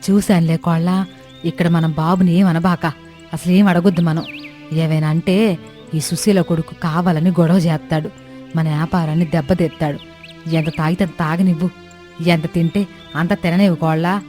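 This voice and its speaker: A woman's voice: on the low side, steady tone, moderate pace, long pauses, warm tone, soft background music, lightly acted. A woman playing a practical, shrewd older mother-in-law who sells fish, giving instructions in a cartoon moral story. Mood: cautioning.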